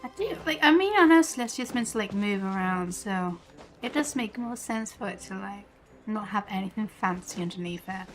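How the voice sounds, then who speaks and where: A woman's voice: medium pitch, lively intonation, normal speed, soft background music. A woman game streamer giving casual, chatty live commentary, rambling along with her train of thought.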